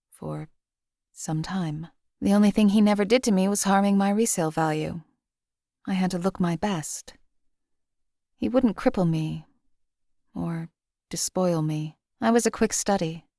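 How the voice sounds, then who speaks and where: A woman voicing a young game character, a former slave turned killer, who speaks in a quiet, matter-of-fact, emotionally flat way. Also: on the low side, no echo, clean studio sound, close to an everyday voice. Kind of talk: recorded game voice lines.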